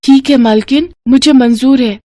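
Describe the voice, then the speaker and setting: A woman's voice: high voice, moderate pace, warm tone, dry recording, clean studio sound, pitched above the performer's own voice, natural voice. A woman voicing a poor, protective big sister in a moral story, speaking in an earnest, pleading way. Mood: submissive. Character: poor, caring big sister scrap-picker girl. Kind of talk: moral story character acting.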